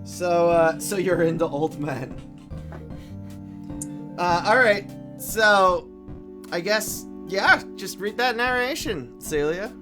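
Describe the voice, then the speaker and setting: Slow, slightly low-voiced woman hosting. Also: expressive, music in the background. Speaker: livestream host. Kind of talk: casual live game-stream chat.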